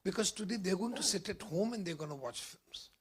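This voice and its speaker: A hoarse middle-aged man with an Indian accent, a film critic answering confidently at a press event. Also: high-pitched, lively intonation, fairly quick. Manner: emphatic, confident predictions. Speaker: film critic and distribution executive.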